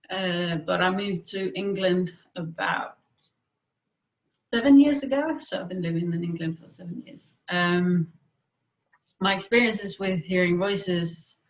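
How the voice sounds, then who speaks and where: A woman from Denmark with a Danish accent, answering interview questions in a thoughtful way with frequent pauses and fillers. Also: fairly low pitch, fairly bright tone, muffled recording. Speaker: guest, peer expert on hearing voices.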